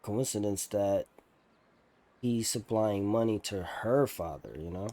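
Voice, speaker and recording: A man's voice: on the low side, unhurried, dark tone. A young man doing casual, slangy let's play commentary, musing aloud as he goes. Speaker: gaming streamer. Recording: game music behind.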